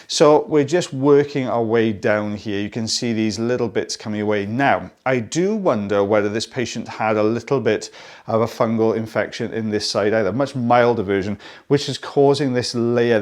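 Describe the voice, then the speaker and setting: A man's voice: fairly low pitch, normal speed, darkish tone. Friendly Welsh man explaining a procedure. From South Wales. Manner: friendly, explanatory, with asides to viewers. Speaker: audiologist presenting ear wax removal. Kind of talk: narrated procedure commentary.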